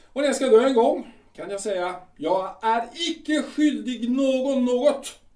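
An actor playing a boastful, domineering aging army captain who blusters and then drops to a mutter. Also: male voice, extremely high pitch, far from the mic. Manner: blustering, swings between loud and muttered. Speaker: actor in an audio drama. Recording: echoey room, voice off-mic.

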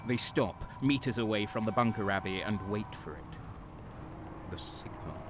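A man playing a jaded guardsman who narrates his war with dark relish in brooding, deliberate first-person storytelling. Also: on the low side, noisy background, close to an everyday voice. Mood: grim, foreboding.

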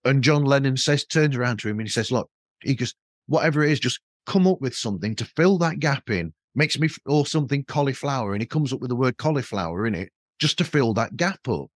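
Low-voiced man, rambling casual podcast host. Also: lively intonation, fast, dry recording. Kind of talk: casual conversational interview.

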